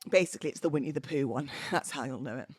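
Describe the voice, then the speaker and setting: A woman's voice: very deep voice, fast talker, breathy edge, no room sound, clean studio sound. A woman hosting a podcast solo, talking informally and conversationally in a self-deprecating way. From United Kingdom. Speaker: podcast host. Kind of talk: chatty solo podcast with readings aloud.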